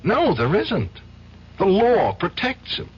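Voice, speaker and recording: An actor playing a guilt-ridden man of conscience in a 1970s radio drama, speaking in a halting, brooding way with repeated phrases. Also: high-pitched, wildly swooping pitch, breathy edge, heavily acted. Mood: despairing. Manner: halting, brooding, emphatic repetitions. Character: troubled, guilt-ridden man of conscience drowning his sorrows. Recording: dull, noisy old radio broadcast.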